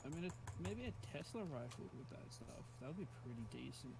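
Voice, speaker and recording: A man's voice: on the high side, big pitch swings, fast talker, a little mellow, breathy edge. A man chatting casually over voice chat while gaming, his voice crackly from drinking. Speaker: gamer on voice chat.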